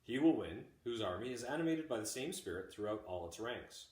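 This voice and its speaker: A man reading a classic text aloud like a teacher, in measured, deliberate phrases. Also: mid-range voice, lively intonation, a little mellow. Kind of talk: audiobook-style read-aloud of a classic text. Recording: roomy, echoey home recording.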